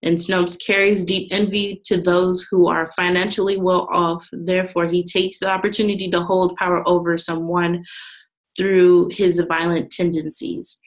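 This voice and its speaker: Flat-voiced young woman presenting slides. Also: on the low side, normal speed, rough recording. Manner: reads steadily from notes with some restarts. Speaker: student presenter. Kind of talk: class presentation read from slides.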